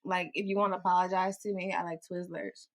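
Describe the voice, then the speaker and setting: A woman's voice: fairly low pitch, flat delivery, quick pace. Nasal woman co-hosting a chatty podcast. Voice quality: nasal from pollen. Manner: laughing often, loose and joking. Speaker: podcast co-host. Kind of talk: casual chat.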